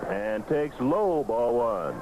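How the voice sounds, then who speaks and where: A man's voice: medium pitch, big pitch swings, slow, noisy background. An American man calling live baseball play-by-play on a 1970s radio broadcast. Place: ballpark broadcast booth.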